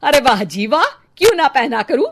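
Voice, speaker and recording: Woman acting a cranky, high-voiced Indian mother-in-law. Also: big pitch swings, normal speed. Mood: indignant. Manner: scolding, indignant, sarcastic. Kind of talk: Hindi cartoon story dialogue.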